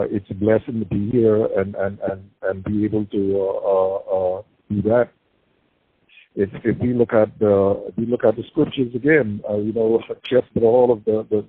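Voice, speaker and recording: An older man speaks haltingly and devoutly, with many fillers and repeats. His voice sits fairly low. The sound is dry and tinny, as if over a phone line. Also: slightly rough, no room sound. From Bahamas. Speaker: interview guest, prisoner. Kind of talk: casual interview talk. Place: prison. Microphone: prison phone call.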